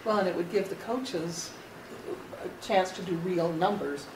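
Low-pitched woman, far off. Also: unhurried. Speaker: meeting participant. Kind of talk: informal discussion. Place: indoor public board meeting room. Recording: echoey room, distant, noisy.

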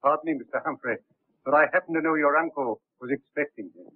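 An actor in a 1930s film playing a deferential, discreet elderly household butler. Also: male voice, fairly high pitch, fast, no room sound, lightly acted. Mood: formal, courteous. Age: older. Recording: thin, narrow-band 1930s film soundtrack.

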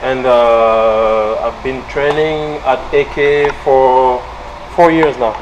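A young man with a French accent, a fighter being interviewed in a large indoor gym, speaking haltingly with many fillers. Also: medium pitch, steady tone, highs cut off, background noise. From France. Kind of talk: casual interview.

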